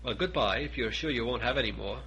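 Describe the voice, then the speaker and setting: A man voicing a brisk, polite and practical rabbit in a storybook, fussy and matter-of-fact, with clipped delivery. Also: mid-range voice, bright, forceful tone, breathy edge, dull audio.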